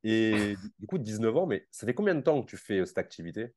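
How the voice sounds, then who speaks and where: A man's voice: on the low side, big pitch swings, brisk, darkish tone, slight background noise. A man in his late twenties hosting a casual interview from his bedroom, with an informal, slangy way of talking. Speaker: interviewer and host. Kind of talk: casual video call interview. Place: bedroom at home.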